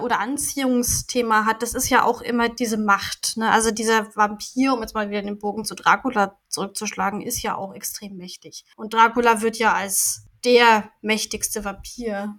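A woman's voice: fairly high pitch, fairly even intonation, normal speed, hardly any pauses, fairly bright tone. A woman co-hosting a podcast in casual chat, emphatic and repeating her words. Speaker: podcast co-host.

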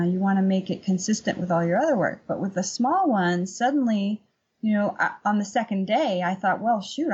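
Woman, middle-aged, husky mid-pitched voice, informal conversational interview guest, slightly dull sound. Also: darkish tone. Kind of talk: casual conversational storytelling and explanation. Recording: dull lo-fi remote audio.

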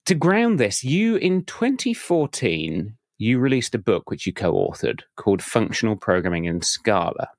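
A man hosting a software podcast, introducing the episode and then interviewing a guest in a casual chat. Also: lowish voice, big pitch swings, a little slow, gravelly, few pauses, no echo, studio quality. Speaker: podcast host.

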